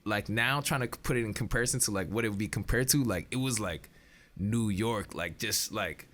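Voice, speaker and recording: A man's voice: lowish voice, moderate pace, fairly bright tone. A young man from Hawaii, a guest musician in a casual interview, talking in a laid-back, slangy way with lots of fillers.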